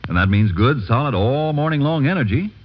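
An American man delivering an old-time radio sponsor pitch in scripted, bantering style. His voice is rather husky, with lively intonation. It is a dull vintage recording with faint music. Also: a little fast. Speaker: commercial announcer.

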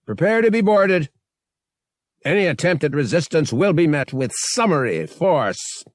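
Casting: man as stern space navy captain, raised pitch, formal, commanding, audiobook villain. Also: sing-song, a little slow, no echo, studio quality. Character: stern, officious warship captain.

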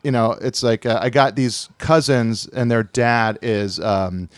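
A man's voice: mid-range voice, fairly even intonation, measured pace, dry recording. A man from Hawaii telling a family story in casual chat, rambling with many fillers and restarts.

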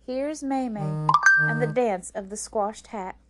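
A woman reader puts on a prim storybook sister who performs on stage and squabbles readily. She speaks briskly and emphatically, with a huffy edge. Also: a little high, loud music behind the voice, voice pushed up. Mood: showy, announcing.

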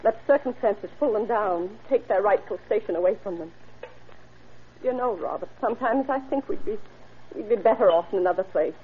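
An actress playing a brooding, class-obsessed, quietly unhinged mother of grown sons in an old radio drama, with a halting, plaintive delivery. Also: medium pitch, animated, close to an everyday voice. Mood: bitter, brooding. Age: middle-aged or older. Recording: noisy, tinny old radio recording.

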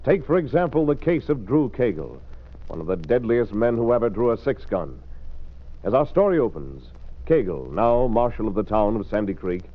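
A man's voice: mid-range voice, very animated, moderate pace. A man narrating an old-time radio program as announcer in a dramatic, declamatory manner. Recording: muffled vintage radio with organ music.

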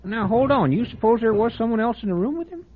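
An actor playing a folksy small-town man in an old-time radio drama, husky voice. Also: extremely high pitch, lively intonation, quick pace, exaggerated voice. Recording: tinny lo-fi radio broadcast.

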